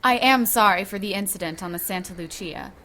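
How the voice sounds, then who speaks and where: A woman's voice: medium pitch, a little fast, sharp tone, a little hiss or hum. Regal female ruler of witches, medium register, formal, authoritative, self-possessed, audio drama. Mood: gracious. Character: composed, regal high priestess of an island of witches.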